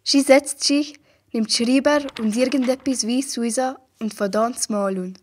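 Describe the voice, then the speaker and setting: A female storyteller narrates an amateur radio play. Her voice is fairly high, and she speaks with a Valais Swiss German accent, reading from a script. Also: steady tone, measured pace, darkish tone, slight background noise.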